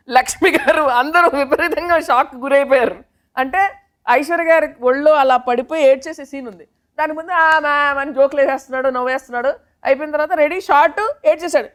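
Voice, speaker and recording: A woman's voice: high-pitched, fairly bright tone. A woman with a Telugu accent chatting casually in a group interview, switching in and out of English. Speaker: film director in team interview.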